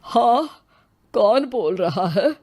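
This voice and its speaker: A woman's voice: medium pitch, animated, drawn-out words, dull audio. A woman voicing an elderly bereaved village father in a story, speaking respectfully and hesitantly. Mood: puzzled.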